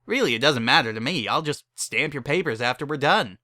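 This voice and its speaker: A man voicing a tipsy, breezy middle-aged pony mare who officiates weddings with brisk, casual patter in a dramatic story reading. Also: medium pitch, lively intonation, sharp tone, dry recording. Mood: casual officiating.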